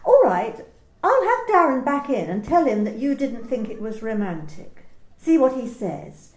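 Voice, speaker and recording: A woman's voice: a little high, big pitch swings, unhurried, echoey room. A woman playing a clipped, formal office manager. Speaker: actor in an amateur radio play. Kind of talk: amateur radio play acting.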